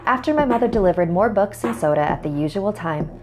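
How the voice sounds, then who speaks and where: A woman's voice: medium pitch, animated, quick pace, music in the background. A woman narrator reading a scripted horror story in the first person.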